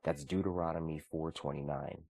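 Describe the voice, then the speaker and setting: A man's voice: a bass voice, normal speed, gravelly. A man in his thirties talking casually and earnestly about his morning routine and faith. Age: 30s. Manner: earnest, relaxed, conversational with frequent restarts. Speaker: vlogger.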